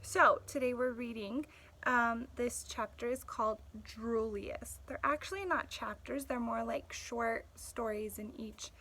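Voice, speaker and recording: A woman teacher reading aloud to children, pausing to explain the story and ask questions. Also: fairly high pitch, fairly even intonation, pushed and bright. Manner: explanatory, checks understanding with questions.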